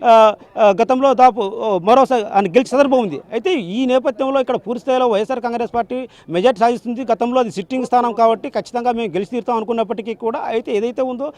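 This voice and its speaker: A male field reporter gives a live election report. He talks very quickly in a high voice, wordy and repetitive, tripping over word starts as he goes. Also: a little mellow.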